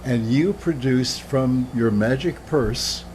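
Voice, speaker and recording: A woman's voice: a bass voice, takes it slowly, a little mellow, slight background noise, low-quality recording. A woman chiming into a chat, hesitant and searching for names as she talks. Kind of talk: casual chat.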